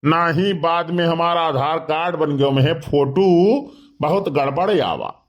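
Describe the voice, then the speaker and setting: Slow-talking boastful Awadhi village neighbour played by a man. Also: fairly high pitch, dull audio, lightly acted. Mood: boastful, protesting. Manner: protesting, boastful. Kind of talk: animated comedy dialogue.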